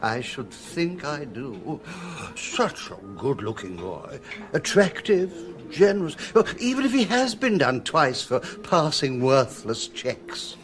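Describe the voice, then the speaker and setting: An actor playing a jovial, gossipy show impresario and gourmand in a British radio drama, effusive and theatrical. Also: male voice, on the high side, very animated, takes it slowly, faint music behind. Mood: gossipy, amused.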